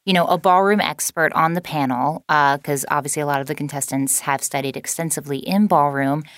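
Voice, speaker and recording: A woman's voice: low-pitched, dry recording, studio quality. A woman interviewing a guest, asking questions with enthusiasm. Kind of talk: casual interview.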